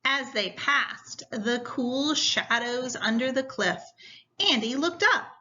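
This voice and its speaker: A woman teacher reading a story aloud to students in a deliberate, instructional way. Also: sits high, animated, sharp tone. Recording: dull, lo-fi sound.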